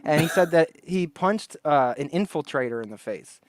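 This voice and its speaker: Chatty man with a fairly high voice. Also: animated, normal speed, no echo. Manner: rambling storyteller, laughs often, acts out quotes. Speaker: podcast co-host. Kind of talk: casual podcast chat.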